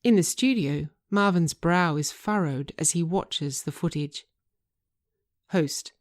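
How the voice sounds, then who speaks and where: A woman narrating a screenplay aloud, reading scene headings and stage directions in a measured, deliberate way. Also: lowish voice. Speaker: writer and narrator.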